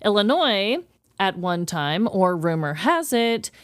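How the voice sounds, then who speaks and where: A woman from the Midwest chats as a podcast co-host with a very lively, swinging intonation. She is playful and casual, mid-range in pitch, recorded dry and close. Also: takes it slowly, clean tone, no room sound. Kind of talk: casual chat, reading out a list.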